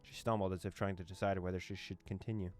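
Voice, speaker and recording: Deep-voiced young man reading a game, joking. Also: little pitch movement, fast talker, a little mellow, soft background music. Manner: playful, joking, laughs often. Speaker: gaming YouTuber. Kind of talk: reading a visual novel aloud with commentary.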